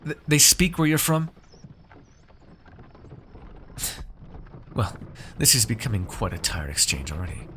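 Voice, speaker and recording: A man playing a haughty, shrewd noble master in a fantasy ASMR roleplay, speaking in measured, formal, condescending phrases to a servant. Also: fairly low pitch, big pitch swings, bright, forceful tone, a little hiss or hum. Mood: condescending, weary.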